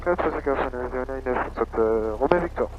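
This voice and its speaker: A man's voice: medium pitch, fast talker, lo-fi sound. A man with a French accent, a private pilot making hesitant radio readbacks from a small plane. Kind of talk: radio readbacks and cockpit callouts. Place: small aircraft cockpit.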